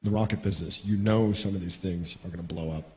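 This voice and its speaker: A male interviewer with a fairly low voice who leads an on-stage talk. He restates each question several times while he asks it. Faint music runs behind him. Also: fairly even intonation, quick pace, a little mellow. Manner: repeats his questions, rephrasing as he goes. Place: live event stage.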